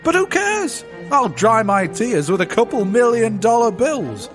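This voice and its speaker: High-pitched, bombastic arrogant tycoon villain. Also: male voice, very animated, measured pace, soft background music, full character voice. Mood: impatient, scheming. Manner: bombastic, sneering, slangy. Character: gruff, arrogant male Gilded Age tycoon villain. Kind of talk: comedic period audio drama acting.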